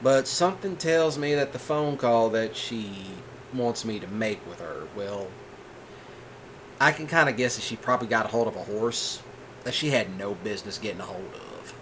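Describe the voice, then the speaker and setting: A man doing a gentle rancher boyfriend. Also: lowish voice, moderate pace, a little hiss or hum, natural voice, low-quality recording. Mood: reassuring. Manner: soft-spoken, patient, folksy. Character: calm reassuring male rancher boyfriend, gentle. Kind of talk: ASMR roleplay.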